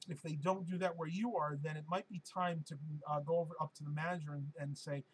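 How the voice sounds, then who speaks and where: A man with a hoarse, fading voice hosts a casual live-stream Q&A, answering viewer questions in a chatty, rambling way with plenty of ums and uhs. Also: fairly high pitch, steady tone, fast, a little hiss or hum, poor audio. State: hoarse, losing his voice.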